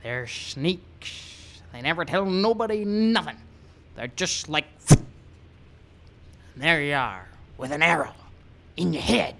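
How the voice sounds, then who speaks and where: Creaky, high-pitched old frontier coot. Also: male voice, animated, sharp tone, heavily acted. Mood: conspiratorial. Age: older. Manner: halting, folksy, muttering repetitions. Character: rambling, spooked old frontier man, evasive gossip. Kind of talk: old-time radio western acting.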